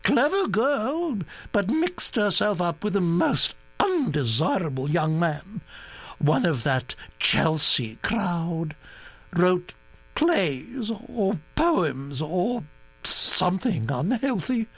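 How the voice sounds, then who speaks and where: A man reading an audiobook, voicing an imperious elderly aristocratic duchess who is brisk, bossy and disapproving. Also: high-pitched, big pitch swings, vocal fry, no room sound, pitched above the performer's own voice, heavily acted, low-quality recording. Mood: gossiping.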